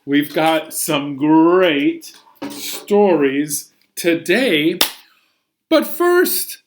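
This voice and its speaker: A man's voice: on the high side, background noise. An American man hosting a story time for young children, playful and exclaiming as he introduces songs and stories.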